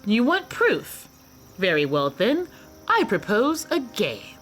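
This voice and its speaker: A woman's voice: mid-range voice, wildly swooping pitch, takes it slowly, soft background music. A woman playing a proud, defiant magical champion in a radio play, with bold, declamatory theatrical delivery. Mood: proud challenge.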